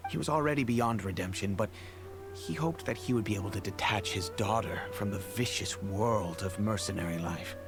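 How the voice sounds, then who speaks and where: A man voicing a middle-aged, wealthy merchant in a video game, fatherly and regretful, speaking in a measured, formal, hesitant way. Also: on the low side, natural voice. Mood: earnest, fatherly. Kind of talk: English video game voice acting. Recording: music behind the voice.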